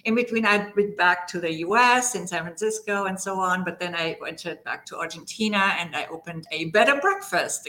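A woman from Germany speaks with a clear German accent and a somewhat low, bright, forceful voice. She is middle-aged and tells her story at length as a podcast guest, with fillers and restarts along the way. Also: rough recording. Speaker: guest, leadership coach. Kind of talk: podcast interview.

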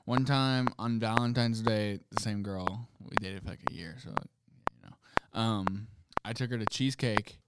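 A young man telling anecdotes in casual chat, in an informal, halting way. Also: fairly low pitch. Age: young adult. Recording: very noisy recording with clicks.

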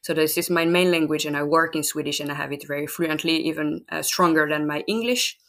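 Low-voiced French woman guest. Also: a little fast, fairly bright tone. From France. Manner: thoughtful, hesitant, with fillers. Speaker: guest mother. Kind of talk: casual interview.

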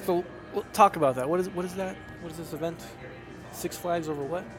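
A man's voice: high voice, fast. A man co-hosting a podcast in casual chat, with music playing behind him.

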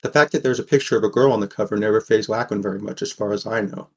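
A man's voice: lowish voice, steady tone, rapid-fire, darkish tone, close to an everyday voice. A man playing a wry, burdened teenage boy who narrates an audio drama in a plain, understated way. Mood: wry, reflective. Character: sixteen-year-old boy, wry, burdened, caring narrator. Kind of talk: first-person scripted narration, audio fiction.

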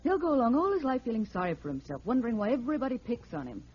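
Fed up wife in old radio drama, quick n scathing, swoopy high voice, dull old recording. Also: female voice, very animated, slightly rough. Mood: dismissive. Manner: scolding, dismissive. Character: scornful, impatient young wife, sharp-tongued. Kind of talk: 1940s radio drama acting.

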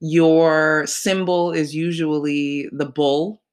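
Slow-talking congested nasal host. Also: medium pitch. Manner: relaxed, rambling with many fillers. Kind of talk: casual astrology talk.

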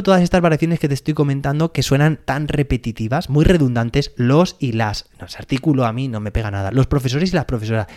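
A man's voice: medium pitch, a little mellow. A man with a Spain Spanish accent, a teacher hosting a solo educational podcast, explaining patiently and checking in with the listener.

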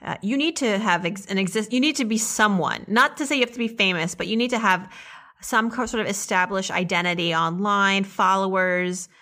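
A woman's voice: mid-range voice, a little fast, sharp tone. A woman hosting a podcast, interviewing in a conversational style.

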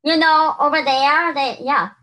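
Bright-voiced woman from Peru. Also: sits high, steady tone, unhurried. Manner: earnest, many restarts and "you know". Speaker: podcast co-host. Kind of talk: casual conversation.

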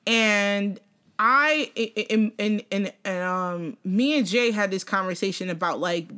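Animated young woman chatting casually. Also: medium pitch, unhurried, clean tone. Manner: animated, swears freely, laughs often. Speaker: podcast co-host. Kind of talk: casual chat.

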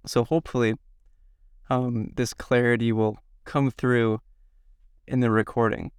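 A man from the Midwest in his thirties, slightly hoarse from a cold, answering interview questions to himself in a slow, halting, reflective way. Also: medium pitch, level tone, no room sound, clean studio sound. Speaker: podcast guest. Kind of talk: self-interview.

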